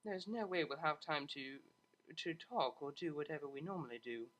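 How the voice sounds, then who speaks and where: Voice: medium pitch, normal speed, a bit bright, natural voice. A narrator voicing a refined young female pony cellist who is prim and a little awkward, speaking formally and hesitantly in an audio storybook. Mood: polite, hesitant. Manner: proper, hesitant, formal wording.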